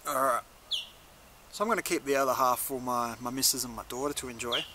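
A man's voice: medium pitch, brisk, noisy background. A young man giving a casual, laid-back review to camera in a conversational way. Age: young adult. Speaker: chilli reviewer, video host. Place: outdoors in a leafy garden.

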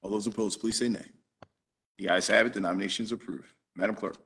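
Brisk man chairing a council vote. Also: fairly low pitch, steady tone, fairly bright tone, breathy edge. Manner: brisk, formulaic calls for votes. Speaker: council president chairing a meeting. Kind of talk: formal meeting procedure. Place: office with flags behind, on a video call. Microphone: video call.

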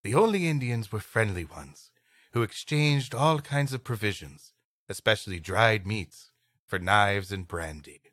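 Male podcast co-host, playful story reader, bright and animated, dry recording. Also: lowish voice, unhurried, no room sound. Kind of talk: reading an old adventure story aloud with comic banter.

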